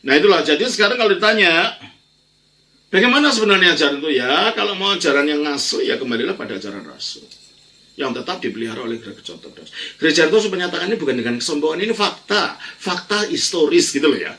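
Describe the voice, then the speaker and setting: An older man with a gravelly, sharp-edged voice explains matters of faith like a priest answering questions. He speaks informally and emphatically, restating key phrases to drive them home. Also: fairly high pitch, very animated, fast talker. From Indonesia. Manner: emphatic, repeats phrases, explanatory with colloquial tags. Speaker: Orthodox priest and teacher.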